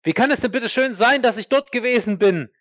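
A man's voice: very high voice, a bit bright, no room sound. A man playing a proud, defiant accused war veteran in an audio drama, indignant and emphatic. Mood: outraged. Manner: indignant, emphatic, halting when pressed. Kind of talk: dramatic audio play acting.

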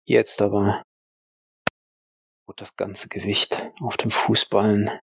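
A man narrating an audio role-play in the third person, halting, deliberate and sombre. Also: fairly low pitch, flat delivery, vocal fry, slightly rough, no room sound, dull audio.

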